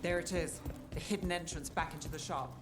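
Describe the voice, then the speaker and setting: An actress playing a calm, serious resistance fighter in a video game. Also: female voice, deep voice, a little fast, lightly acted. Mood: watchful. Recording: game music behind.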